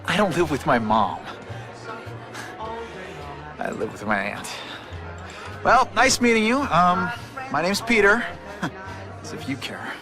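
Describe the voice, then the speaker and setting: Young american superhero from a video game, quippy n kinda awkward, high lively voice. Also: male voice, slow pace, long pauses, music in the background, heavily acted. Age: young adult. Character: wisecracking, nervy young superhero and newspaper photographer.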